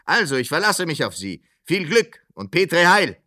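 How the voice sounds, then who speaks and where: An actor dubbing a police commissioner who shouts into a bad phone line, giving orders. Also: male voice, a little high, big pitch swings, moderate pace. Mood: impatient. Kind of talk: film dub.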